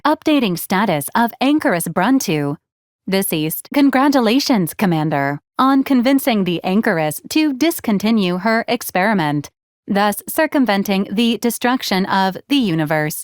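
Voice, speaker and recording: Woman voicing a clinical deadpan robot companion, close and dry. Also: medium pitch, no echo, lightly acted. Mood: politely congratulatory. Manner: clipped, formal, deadpan, machine-like announcements. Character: female-voiced hovering robot companion, clinical, officious, dryly literal. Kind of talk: scripted video game character lines.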